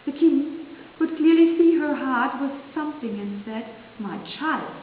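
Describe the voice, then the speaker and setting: A woman's voice: high-pitched, expressive, unhurried. A woman storyteller reading a fairy tale aloud with expression. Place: large reverberant museum room. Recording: very echoey hall, tinny sound with hiss.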